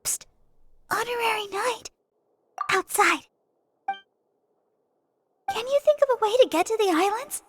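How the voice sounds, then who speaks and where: A woman voicing a sweet, eager teenage girl in a video game, gentle and reassuring. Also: extremely high pitch, expressive, unhurried, long pauses, over music. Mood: gentle concern.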